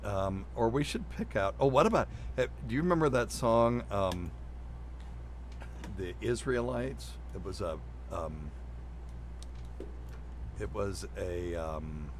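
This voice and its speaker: A man's voice: lowish voice, expressive, slow pace, long pauses, noisy background. A middle-aged man, chatting casually and unscripted as a show host. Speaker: show host and amateur musician.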